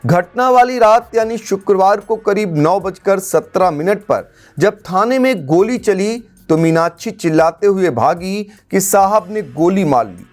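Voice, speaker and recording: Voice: mid-range voice, expressive, unhurried, darkish tone. News narrator over background music. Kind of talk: scripted crime news narration.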